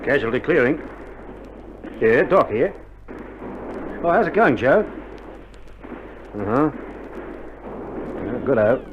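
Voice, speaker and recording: An actor playing a reassuring Australian army doctor in a war radio drama, speaking in casual slang and playing down danger. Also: male voice, medium pitch, big pitch swings, slow pace, long pauses. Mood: breezy, reassuring. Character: breezy, reassuring male army doctor in wartime field hospital. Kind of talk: 1960s radio drama acting. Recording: muffled old vinyl drama, loud battle noise.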